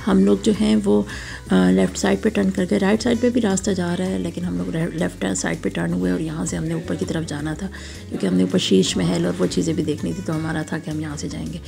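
Woman, hoarse and gravelly, low flat voice, chatty tour narration, faint music underneath. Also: brisk. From Pakistan. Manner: chatty, admiring, guiding viewers along. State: sore throat, voice hoarse. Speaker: travel vlogger. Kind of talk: casual voice-over tour narration.

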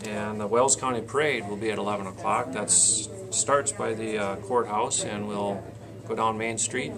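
Man in his forties, creaky gravelly voice, plain halting schedule read-out, indoor camcorder sound, voices in the background. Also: fairly low pitch, fairly even intonation, a crowd in the background. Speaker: county fair board president, interview guest. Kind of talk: reading out an event schedule in a radio interview. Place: small indoor meeting room, seated at a table. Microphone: camcorder a short distance in front.

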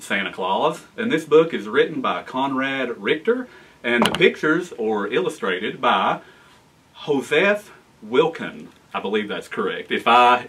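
An American man in his forties reading a children's storybook aloud in an animated, expressive way. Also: medium pitch, far from the mic. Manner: animated, expressive reading with asides to young listeners. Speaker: guest storyteller, town official. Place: library room with bookshelves, indoors.

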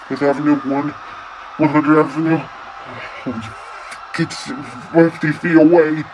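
A man playing a doomed radio news reporter, giving a halting, stammering eyewitness broadcast in an old-time radio drama. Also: medium pitch, background noise, close to an everyday voice. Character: doomed male radio reporter, dread turning to panic.

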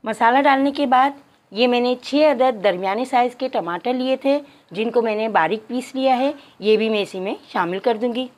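A woman's voice: fairly high pitch, normal speed, a little mellow. A woman narrating a cooking tutorial step by step, addressing viewers politely. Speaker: cooking channel host.

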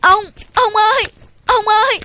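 A man's voice: extremely high pitch, unhurried, pushed and bright, dry recording, studio quality, heavily acted. A man playing a meek, kind young farm servant in a cartoon folk tale, humble and hesitant. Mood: frightened, pleading. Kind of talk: animated folk tale acting. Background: music.

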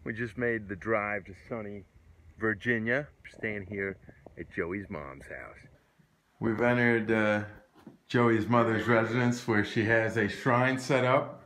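Relaxed bearded man vlogging on tour. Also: fairly low pitch, a little slow. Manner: relaxed, joking, with fillers. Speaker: band member vlogging a tour. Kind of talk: casual vlog narration. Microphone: phone camera at arm's length. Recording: noisy handheld phone audio.

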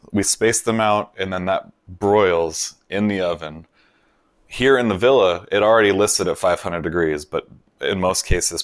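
A man hosting a cooking show, talking through a steak recipe step by step in a relaxed way. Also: sits low, no room sound, studio quality. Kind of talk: voice-over cooking instructions. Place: kitchen in a vacation villa.

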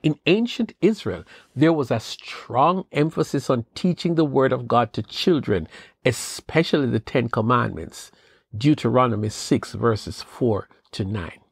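A man hosting a devotional podcast, giving an earnest, measured Bible teaching with rising questions. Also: mid-range voice, lively intonation, creaky, breathy edge, dry recording, studio quality. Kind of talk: scripted devotional talk.

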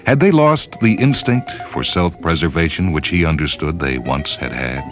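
Very deep-voiced man narrating solemnly. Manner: measured, solemn, deliberate phrasing. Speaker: narrator reading short fiction. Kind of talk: dramatic scripted story narration. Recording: music under the voice. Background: orchestral piano music.

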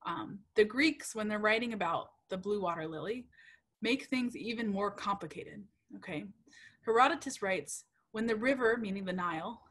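Husky-voiced woman giving a casual lecture. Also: mid-range voice. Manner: chatty, with many ums and self-corrections; uptalk and frequent "right?" checks. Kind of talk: casual academic lecture over slides. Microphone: computer mic on a video call.

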